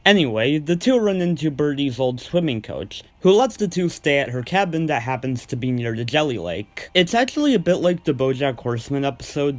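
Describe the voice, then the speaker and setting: A man's voice: medium pitch, a little mellow. A man hosting a video countdown, giving scripted review commentary in an informal, earnest way and stressing key words. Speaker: host of a video list of cartoon episodes.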